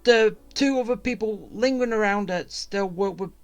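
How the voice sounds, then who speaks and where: A game streamer talking casually through gameplay with music playing behind. The delivery is rambling and a little grumbly, like someone thinking out loud. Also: mid-range voice, normal speed. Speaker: gaming streamer.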